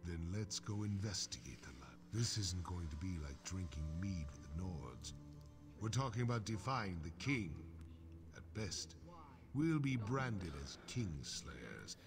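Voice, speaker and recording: A man's voice: low-pitched, big pitch swings, unhurried, music in the background, full character voice. A man playing a grim, determined warrior in a video game, speaking with earnest intensity. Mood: grim, resolute. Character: grim, determined male warrior ally defying a king.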